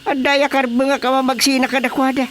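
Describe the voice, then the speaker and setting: A man's voice: extremely high pitch, little pitch movement, brisk, fairly bright tone, a little hiss or hum. A man acting a grumbling, dismissive husband in a radio drama, exclaiming with an Ilocano accent. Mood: exasperated.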